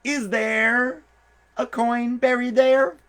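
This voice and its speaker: A man's voice: very high voice, flat delivery, takes it slowly, a bit bright, voice pushed up, full character voice. A man voicing a gullible, greedy man in a folk tale who keeps asking the same eager question. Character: foolish greedy male bird buyer, fretful.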